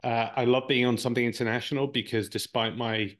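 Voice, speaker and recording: A man's voice: medium pitch, fairly even intonation, fast, a bit bright. A Brazilian-born man with an English accent, Portuguese his first language, answering interview questions thoughtfully as a guest, often repeating words while he finds his phrasing. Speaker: guest, marketing executive.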